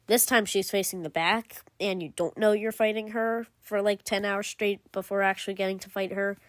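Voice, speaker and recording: A woman's voice: medium pitch, steady tone, normal speed, no echo. A woman giving casual commentary as a gaming video creator, rambling with lots of fillers and self-corrections. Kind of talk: casual game commentary ranking.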